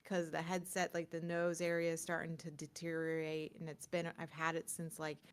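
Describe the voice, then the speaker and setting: A woman's voice: low voice, completely flat delivery, normal speed, smooth. A woman co-hosting a gaming news show, chatting casually and informally with some reading from a script.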